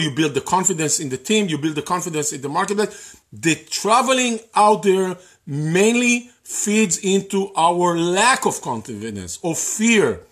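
Man, high-pitched voice, Hebrew native speaker, conversational teaching talk, repeats words, lots of ums and uhs. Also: fairly bright tone. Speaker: real estate investing educator. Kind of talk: live-streamed teaching talk.

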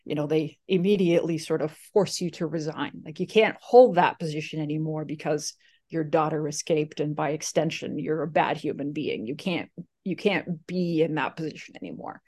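A woman's voice: sits low, steady tone, moderate pace, a little mellow. A woman from Slovakia, Slovak her first language, telling her story casually in an interview with many fillers and restarts. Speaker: guest.

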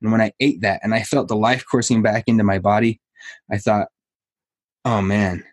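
A man with a lowish voice speaks earnestly, stringing long reflective thoughts together as he leads into a question. The sound is dry and close-miked. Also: fairly even intonation, moderate pace, no room sound. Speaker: podcast host. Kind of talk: conversational interview.

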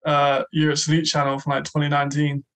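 A man co-hosting a casual sports podcast, chatty and quick to laugh. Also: fairly high pitch, monotone, fairly bright tone. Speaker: podcast co-host.

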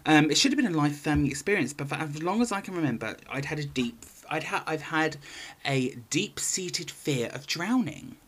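A woman's voice: sits low, animated, moderate pace, slightly rough. A woman co-hosts a chatty podcast. She talks informally and exclaims often. Her delivery is conversational, with jokey asides as she goes through stories. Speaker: podcast co-host. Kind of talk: casual chat, retelling a magazine story.

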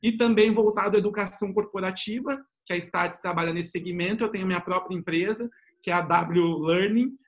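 A man's voice: high-pitched, brisk. A middle-aged Brazilian man introducing himself conversationally as a panel guest, in an informal manner with frequent tag questions. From São Paulo state, Brazil. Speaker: panel guest, business innovation professional.

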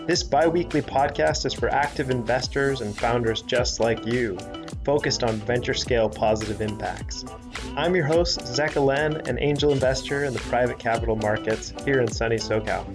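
A man hosting a podcast, reading a polished, upbeat intro and then asking interview questions. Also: medium pitch. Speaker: podcast host. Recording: music bed under voice. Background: music.

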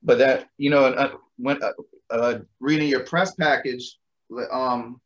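A man's voice: mid-range voice, fairly even intonation, a little slow. A man hosting a casual interview, informal and slangy, stammering over repeated words, on slightly dull audio. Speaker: interview host.

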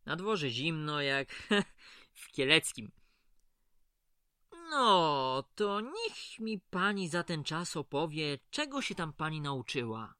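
A man's voice: a little high, sing-song, slow, pushed and bright, clear, resonant tone, dry recording, studio quality, heavily acted. An actor playing a chatty, folksy male prison clerk in an audio drama, familiar and mildly condescending. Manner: familiar, patronising, colloquial.

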